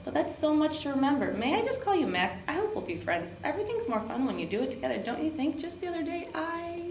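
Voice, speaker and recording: A woman's voice: high-pitched, very animated, quick pace, distant mic, pitched above the performer's own voice. An eager, friendly girl dog from a children's storybook, played by a woman reader. She is cheerful and loves to talk. The room echoes and there is some background noise. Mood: chatty, cheerful. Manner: eager, talkative. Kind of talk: children's storybook read-aloud.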